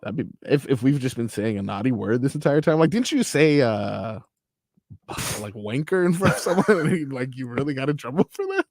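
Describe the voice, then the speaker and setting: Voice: mid-range voice, animated, a little fast, a little mellow, no echo. A sports radio co-host chatting in a giggly, teasing way from the studio. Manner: giggly, teasing, laughs often. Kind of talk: casual radio banter. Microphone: studio mic.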